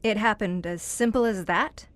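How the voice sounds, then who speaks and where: A female actor plays an earnest woman drawing out a dark tale in an audio horror drama. Her voice sits at an average pitch. She sounds questioning, absorbed and a little appalled. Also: moderate pace, dry recording, close to an everyday voice. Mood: curious. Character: earnest, inquisitive female listener, appalled yet fascinated.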